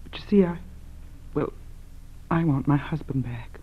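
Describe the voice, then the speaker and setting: A woman's voice: low voice, unhurried, husky, heavily acted. On a dull old radio recording, an anxious wife of a kidnapped man. The woman speaks hesitantly and pleadingly, in the earnest manner of 1940s radio drama. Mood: pleading, worried.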